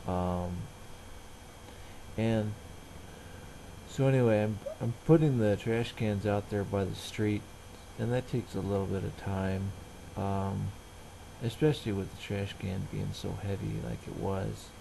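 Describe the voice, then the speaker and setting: A middle-aged man casually narrating everyday tasks in a halting, rambling, plain-spoken way. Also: fairly low pitch, steady tone, creaky, background noise. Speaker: vlogger.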